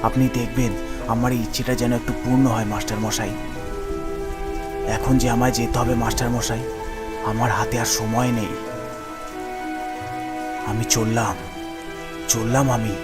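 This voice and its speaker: A man's voice: medium pitch, level tone, normal speed, long pauses, lightly acted. Sorrowful ghost of a devoted father played by a man, mournful, pleading, cartoon drama with music underneath. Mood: mournful, resigned. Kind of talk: Bengali cartoon story acting.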